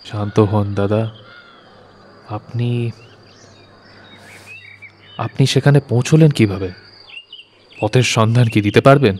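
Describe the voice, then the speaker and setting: A man playing a calm, guarded king who questions with measured courtesy in a dramatised audio story. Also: on the low side, slight background noise. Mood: calm, probing. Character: composed, guarded king questioning a troubled subject.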